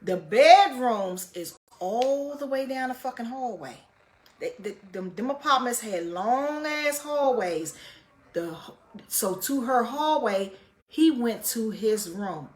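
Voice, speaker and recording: Loud, high-voiced woman telling a story. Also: slow. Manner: profane, animated retelling; repeats phrases for emphasis. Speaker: vlogger telling a personal story. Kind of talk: casual storytelling.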